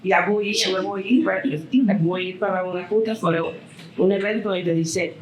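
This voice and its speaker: A middle-aged woman on a panel, loud-voiced, warm and self-deprecating. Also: mid-range voice, fairly quick, roomy sound, crowd noise, rough recording. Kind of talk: panel discussion talk. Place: hotel event room at a brunch.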